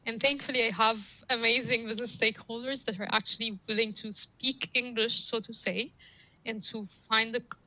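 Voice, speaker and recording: A woman's voice: a little high, fairly even intonation, a little fast, pushed and bright, dry recording, low-quality recording. A woman whose first language is Arabic, a guest on a podcast, explaining her ideas with examples and repeated phrases. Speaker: guest data scientist. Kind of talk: podcast interview.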